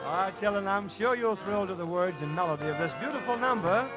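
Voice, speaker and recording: A man's voice: high voice, quick pace. A man hosting as bandleader and emcee in a showman-like manner on a 1940s radio show. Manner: showman-like, addressing listeners as children. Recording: muffled old radio recording with music.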